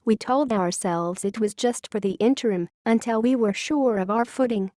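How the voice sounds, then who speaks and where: A woman playing a wistful, grave founding scientist who confesses a secret history in a measured, literary audio-log monologue. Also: a little high, darkish tone, dry recording, studio quality, natural voice. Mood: wistful, earnest.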